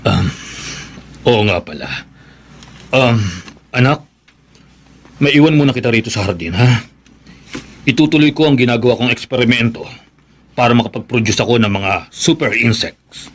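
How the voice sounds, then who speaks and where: A man's voice: mid-range voice, animated, normal speed, long pauses, a little hiss or hum, natural voice. An actor playing a distracted, absent-minded father and insect scientist in a horror radio drama, talking hesitantly.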